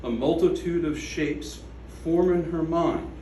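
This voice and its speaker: A man reading his own poem aloud at a gallery event, slow and measured, with falling line endings. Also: a little high, mellow, slight background noise. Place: art gallery room. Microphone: away from the microphone. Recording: echoey room from a distance.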